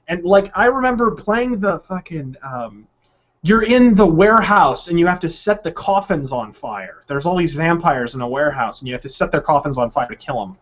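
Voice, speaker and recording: Male podcast panelist, high voice, sarcastic and foul-mouthed, emphatic delivery, slightly muffled lo-fi sound. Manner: emphatic, profane ranting; sarcastic, exaggerating. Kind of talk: opinionated video game podcast talk. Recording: dull, lo-fi online audio.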